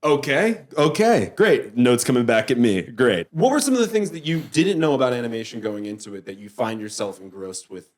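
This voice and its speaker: A man's voice: medium pitch, very animated, a little fast, few pauses. A man conducts a mock-serious comedy interview as the interviewer. His intonation swings widely and animatedly. He is earnest and picky about details, and grows hesitant when challenged.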